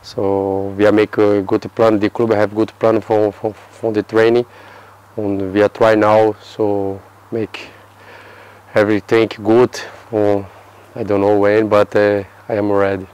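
A man in his thirties from Brazil, answering interview questions in halting English with a Brazilian Portuguese accent and many restarts. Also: on the low side, flat delivery, a little mellow, clear, resonant tone, low-quality recording. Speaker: football player. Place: outdoor terrace by a yellow building.

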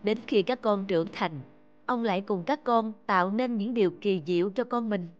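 An actor voicing a gentle, patient middle-aged father in an animated children's story, with a tender, measured, explanatory manner. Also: mid-range voice, muffled recording, natural voice. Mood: tender, reassuring.

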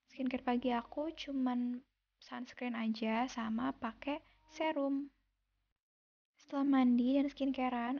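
A young woman from Indonesia narrating her daily vlog as a calm, unhurried voice-over, recorded separately in a quiet room. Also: a little high, lo-fi sound. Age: young adult. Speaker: vlogger. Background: soft background music.

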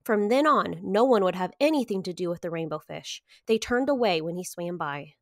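A woman in her thirties reading to children as a story time host, with expressive, clearly phrased delivery. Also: on the low side, moderate pace, dry recording, studio quality. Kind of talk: children's picture book read-aloud.

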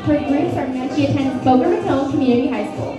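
A woman's voice: sits high, quick pace. A woman emceeing a pageant, reading contestant bios in a list-like cadence in a large hotel ballroom. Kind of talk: announcing contestants. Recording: echoey ballroom, distant mic, loud music.

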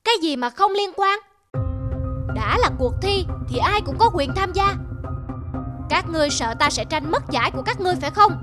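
A woman voicing a big, boastful, bullying male eagle in an animated fable, arrogant and sneering. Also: very high voice, normal speed, pauses often. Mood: threatening. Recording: loud music behind the voice. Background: music.

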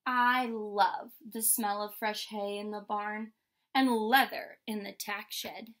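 A young adult woman reading a picture book aloud like a teacher, in a measured, instructional way. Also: medium pitch, steady tone, a bit bright. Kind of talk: picture-book read-aloud with short explanations. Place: indoor room with painted mural wall.

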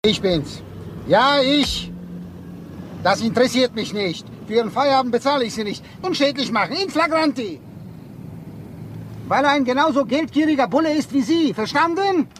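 An actor playing a cold, commanding crime boss with a formal, icy manner in a radio crime drama. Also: male voice, very high voice, expressive, moderate pace, fairly bright tone, slight background noise. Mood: harsh, commanding. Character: cold, menacing male crime boss, controlled and threatening. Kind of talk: German crime radio play acting.

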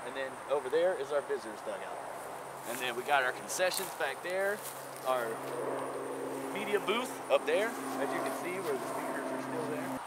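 A man's voice: a little high, lively intonation, normal speed. A young man giving a casual, folksy guided tour to camera, recorded outdoors at an abandoned baseball stadium. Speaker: urban exploration vlogger. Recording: outdoor camera audio with noise.

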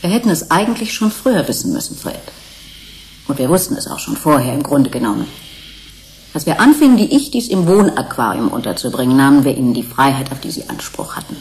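An actress playing an earnest, matter-of-fact research investigator in a German radio play, firm, precise and emphatic. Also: female voice, extremely low pitch, sing-song, a little fast, darkish tone, heavily acted, rough recording. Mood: regretful, insistent. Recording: 1980s radio play, faint noise, ambience.

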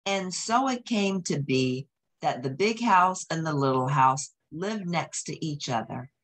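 Low-pitched, expressive woman reading to children. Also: a little slow. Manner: simple explanatory phrasing for children. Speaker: children's story reader. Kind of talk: picture-book read-aloud with comments to young children.

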